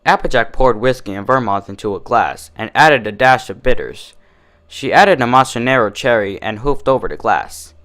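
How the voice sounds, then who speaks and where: A man narrates a scripted story at an average pitch. His intonation is lively and varied, as a fan-fiction reader telling a tale. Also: moderate pace.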